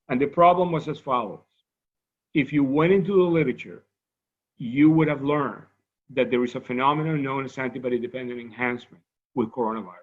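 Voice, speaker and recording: A man with a Cuban Spanish accent gives a scientific lecture at a mid-range pitch. He talks loosely and conversationally, restarting phrases and dropping in asides. The sound is a bit dull and lo-fi. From Cuba. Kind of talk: academic lecture.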